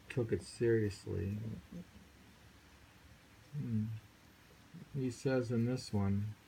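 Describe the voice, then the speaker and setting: A man's voice: lowish voice, dark tone, a little hiss or hum, low-quality recording. A man reading poems aloud and musing on them in casual chat, with frequent thoughtful hums. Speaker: poetry reader and host.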